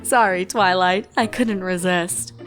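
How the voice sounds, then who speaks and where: A woman's voice: fairly low pitch, steady tone, measured pace, a bit bright, soft background music. Woman playing a playful, teasing pony mare.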